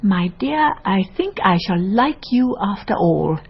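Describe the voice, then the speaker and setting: A worldly countess and storyteller in a radio drama. Her husky voice rises and falls freely with aristocratic, dry irony. The sound is slightly dull. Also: mid-range voice, big pitch swings, heavily acted. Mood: indulgent, proud. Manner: deliberate, aristocratic, drily ironic.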